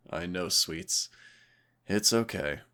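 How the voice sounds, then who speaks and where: Very deep-voiced tender boyfriend, acted by a man. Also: drawn-out words, bright, forceful tone, exaggerated voice. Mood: playful, affectionate. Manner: tender, reassuring, conversational. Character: affectionate, cautious, playful boyfriend sheltering from a snowstorm. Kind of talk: intimate audio roleplay, one side of a conversation.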